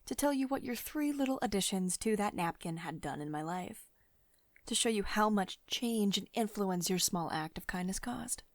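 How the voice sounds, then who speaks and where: A woman's voice: mid-range voice, expressive, moderate pace, dry recording, clean studio sound, natural voice. A woman playing a commanding, protective tech CEO who is secretly devoted and tender, in an intimate ASMR roleplay monologue. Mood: earnest, confiding.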